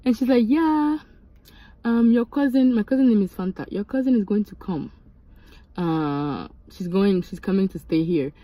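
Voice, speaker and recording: A woman's voice: medium pitch, moderate pace, no echo, muffled recording. A woman of twenty from Mali, raised in Senegal, telling a story in a chatty vlog from her car, swearing casually and breaking into asides. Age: 20s. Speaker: vlogger. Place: inside a parked car.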